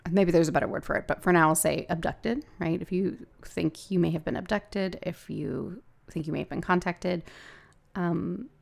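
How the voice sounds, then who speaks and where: A woman with a gravelly voice hosting a podcast, talking casually and haltingly as she thinks aloud. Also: fairly low pitch. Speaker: podcast host and hypnosis practitioner. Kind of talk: casual solo podcast talk.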